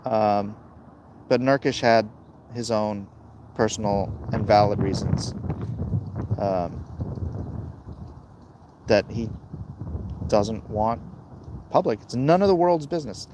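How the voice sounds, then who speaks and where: A man with a gravelly voice hosting a casual, opinionated livestream, answering chat in a blunt, dismissive way and muttering between thoughts. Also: fairly low pitch, slow pace, long pauses, background noise. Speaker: livestream host reading and answering chat comments.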